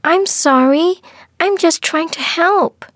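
Woman as a high-voiced, slow-spoken lonely young girl. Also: fairly bright tone, no room sound, studio quality, heavily acted. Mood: friendly, apologetic. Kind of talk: dramatised audiobook dialogue for learners.

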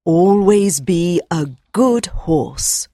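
A man voicing an old, wise mother mare who gently and earnestly advises her colt in a storybook reading. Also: high-pitched, animated, drawn-out words, dry recording, studio quality, pitched above the performer's own voice, exaggerated voice. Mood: earnest advice. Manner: soft, earnest and admonishing.

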